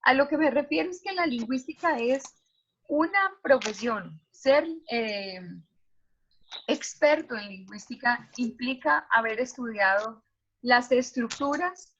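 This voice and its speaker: A woman teacher giving a lecture to her students, explaining slowly with many examples and small fillers. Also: a little high, a bit bright, dull audio.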